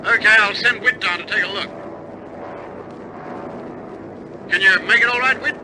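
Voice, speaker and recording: Bomber captain, played by a male actor. Raised pitch, commanding, colloquial, 1950s radio acting, heavy aircraft din. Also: quick pace, close to an everyday voice. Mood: commanding, urgent. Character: bomber captain steering a crippled aircraft, steady under strain. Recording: old radio recording, loud aircraft engine noise.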